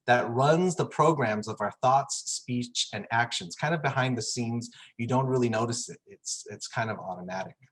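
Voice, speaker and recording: Man, from Chicago, husky voice, medium pitch, earnest presentation, measured delivery. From Chicago, United States. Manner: earnest, measured, with occasional fillers. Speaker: forum presenter. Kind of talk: prepared presentation talk.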